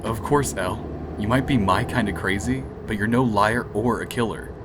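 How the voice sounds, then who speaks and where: A man's voice: on the low side, normal speed, natural voice. A man playing a loyal, protective and remorseful young ex-boyfriend in radio drama dialogue. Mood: reassuring. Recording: clear background noise.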